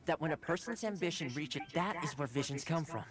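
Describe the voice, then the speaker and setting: Man voicing lively, courteous young game guide. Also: a little high, a little fast, a crowd in the background, lightly acted. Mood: thoughtful. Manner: polite, teasing, articulate. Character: playful, courteous young male retainer and guide. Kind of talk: video game dialogue. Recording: game music behind.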